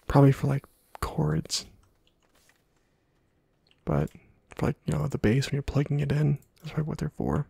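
A man giving casual, playful ASMR game commentary in a hushed, breathy half-whisper, muttering to himself close to the microphone. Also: fairly high pitch, completely flat delivery, moderate pace, long pauses, no room sound. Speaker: gaming video host.

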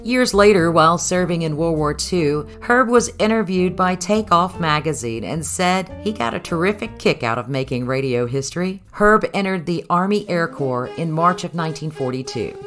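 A woman narrates a historical documentary from a script. Her voice is very low for a woman, with music playing behind it. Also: a bit bright.

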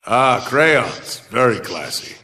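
An actor voicing the dryly skeptical, pedantic inner voice of a mad mercenary in a video game. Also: male voice, medium pitch, big pitch swings, slow pace, slight background noise, exaggerated voice. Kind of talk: video game cutscene voice acting. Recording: loud music and effects behind.

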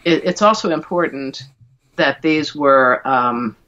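A middle-aged woman speaks as an interview guest, feeling her way through explanations with a thoughtful, halting delivery. The sound is dull and lo-fi, like a video call from a room at home. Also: low voice, fairly bright tone, slight background noise. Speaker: guest, verse translator and classicist.

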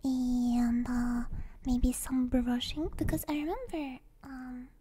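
High, soft whispery young woman. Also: steady tone, slow pace, slight background noise. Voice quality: soft, breathy, often whispering. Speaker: ASMR streamer. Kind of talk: ASMR, casual chat with viewers. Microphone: close stereo ASMR microphone.

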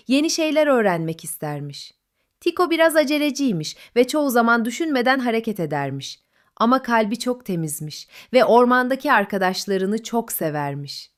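A woman narrating a children's bedtime story in a gentle, melodic manner. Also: a little high.

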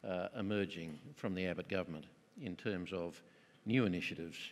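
A man moderating a conference panel, asking measured questions with some fillers. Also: low voice. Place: conference stage in a large hall. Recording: long hall reverb.

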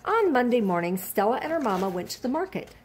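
Older woman, storyteller, children's book read-aloud, animated intonation, faint background noise. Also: on the high side, quick pace. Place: indoors, at a desk at home.

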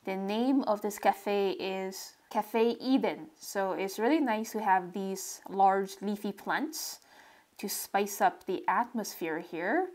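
A woman narrating a relaxed drawing tutorial step by step, describing what she does as she draws. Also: medium pitch. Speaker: sketching tutorial host.

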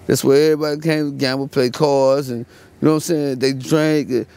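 A man in his late thirties from Fort Worth with a Texan accent, talking in a relaxed, rambling way outdoors at dusk. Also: medium pitch, fairly quick, gravelly, smooth. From Fort Worth, Texas. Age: late 30s. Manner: laid-back rambling, frequent "you know what I'm saying". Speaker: interviewee. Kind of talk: casual street interview. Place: outdoors at dusk in a residential yard. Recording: faint outdoor street noise.

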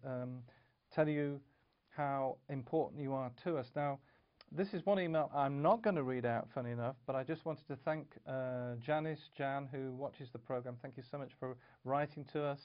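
A middle-aged man co-hosting a TV programme who reads viewer emails aloud and speaks in an earnest, measured way. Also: mid-range voice, muffled recording. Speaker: co-host. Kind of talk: presenting to camera, reading viewer emails. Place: studio set with bookshelves.

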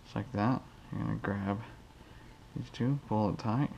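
A man's voice: lowish voice, unhurried, gravelly, a little mellow, lo-fi sound, a little hiss or hum. A man hosting a fishing channel, casually demonstrating a knot in his garage while counting the steps aloud. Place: cluttered garage, indoors. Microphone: head-mounted action camera.

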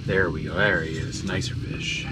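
A young man vlogging a day of ice fishing on a frozen lake, talking to himself and the fish in a low-key way. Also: fairly even intonation, normal speed. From Wisconsin. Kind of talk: casual running commentary while ice fishing. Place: frozen lake outdoors, then inside a small ice shanty. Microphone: action camera on the ice. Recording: distant camera mic, loud wind noise.

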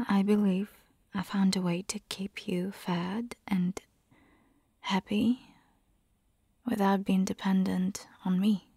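A woman playing a caring, teasing vampire hunter girlfriend in an intimate ASMR roleplay, whispering breathily and coaxing gently. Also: medium pitch, creaky, breathy edge, no echo, heavily acted. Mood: earnest, tender.

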